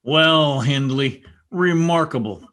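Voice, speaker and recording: An actor playing a cruel, tormented gothic master in an old-time radio drama, sardonic, brooding and theatrical. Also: male voice, fairly high pitch, slow, fairly bright tone.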